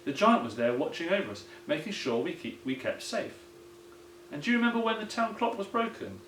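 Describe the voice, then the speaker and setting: A man's voice: fairly high pitch, big pitch swings, brisk, a bit bright, pitched above the performer's own voice. A man voicing a kindly old grandad who earnestly tells tall tales in a children's picture book. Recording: roomy echo, some background noise.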